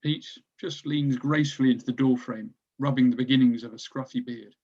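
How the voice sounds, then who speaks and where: A man's voice: mid-range voice, steady tone, fairly quick, darkish tone, highs cut off. A man reading stage directions as scripted narration for a screenplay table read.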